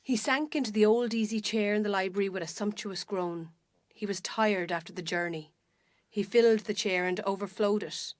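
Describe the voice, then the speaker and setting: A woman with an Irish accent narrating a literary short story in a measured, expressive storytelling manner. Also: medium pitch, no echo. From Ireland. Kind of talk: scripted dramatic literary narration.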